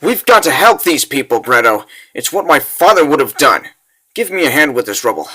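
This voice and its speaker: A man's voice: fairly high pitch, sing-song, fairly quick, pushed and bright, heavily acted. A man voicing an eager, earnest young child adventurer in a comic dub.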